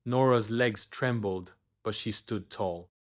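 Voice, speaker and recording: A man's voice: on the low side, slow. A man reading a scripted children's bedtime story as the storyteller.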